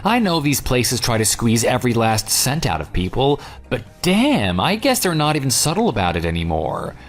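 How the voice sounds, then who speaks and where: Male actor as bitter ex-fiancé, smug and taunting, lively swings in pitch, for an audio drama. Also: moderate pace. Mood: mocking. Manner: sarcastic, taunting. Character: vengeful jilted ex-fiancé, sarcastic and coldly smug. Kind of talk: dramatized phone dialogue.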